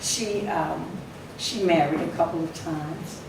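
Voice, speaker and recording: A woman's voice: deep voice, takes it slowly. A woman speaking as a society spokesperson at a ceremony, telling a story with emphasis and some fillers. Her voice is weaker than usual, and she leans in to a podium microphone. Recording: echoey hall from far off, noisy.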